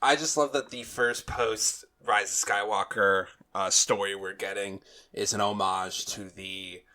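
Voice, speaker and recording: A man's voice: lowish voice, steady tone, measured pace, pushed and bright. A man co-hosting a casual fan podcast, talking emphatically and often repeating himself. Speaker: podcast co-host.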